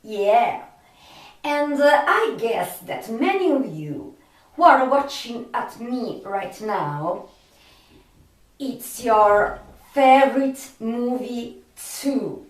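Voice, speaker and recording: A home video channel where a woman with a non-native English accent haltingly addresses fellow fans in a highish voice, in an echoing room. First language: not English. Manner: halting, drawn-out delivery with pauses. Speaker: video host, film fan. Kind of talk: casual monologue to viewers. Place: home studio. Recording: echoey room, distant microphone.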